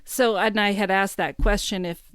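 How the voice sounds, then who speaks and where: A woman's voice: mid-range voice, steady tone, normal speed, a bit bright, clear, resonant tone, no room sound. A woman in her fifties hosting a podcast, asking a guest questions in a chatty way. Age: 50s. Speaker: podcast host. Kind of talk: casual interview chat.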